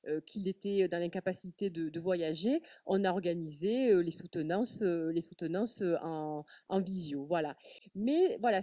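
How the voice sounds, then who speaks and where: A woman's voice: fairly low pitch, warm tone, no echo, recorded from a distance. A woman speaking on a festival panel, talking with many fillers and repeated words. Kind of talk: panel talk.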